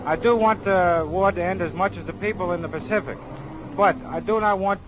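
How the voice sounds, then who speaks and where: A young man, a soldier, giving measured, earnest answers in a 1940s street radio interview. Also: high voice, background noise. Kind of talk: unscripted vox-pop answers. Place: outdoors on a busy downtown street. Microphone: broadcast street microphone.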